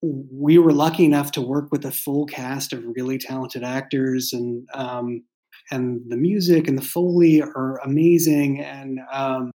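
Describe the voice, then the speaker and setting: A man's voice: mid-range voice, steady tone, measured pace, warm tone. A man speaking as a writer in a casual behind-the-scenes discussion, with a thoughtful, hesitant, rambling way of phrasing things. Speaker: writer and creator of an audio drama.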